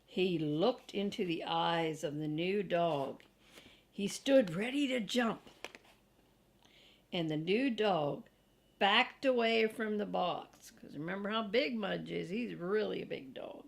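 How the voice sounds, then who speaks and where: An older woman reading a children's storybook aloud, deliberate and grandmotherly, with casual asides to young listeners. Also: on the low side, gravelly, slight background noise. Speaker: storybook reader.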